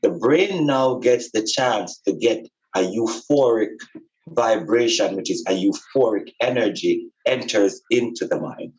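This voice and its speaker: Deep voiced guy, talks real slow and deliberate, explaining spiritual healing stuff, kinda muffled recording. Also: darkish tone. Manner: deliberate, emphatic, repeats phrases for stress. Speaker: podcast speaker and spiritual teacher.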